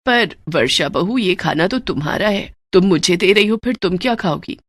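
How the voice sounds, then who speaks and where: A woman's voice: lowish voice, expressive, normal speed, no echo, studio quality. Woman playing a plaintive elderly mother-in-law. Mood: concerned.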